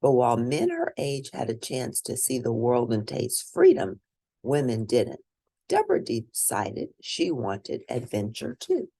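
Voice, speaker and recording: A woman reads a picture book aloud in an expressive, teacherly way, speaking to young listeners. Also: extremely low pitch, unhurried, slightly rough, no room sound. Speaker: children's read-aloud narrator and educator.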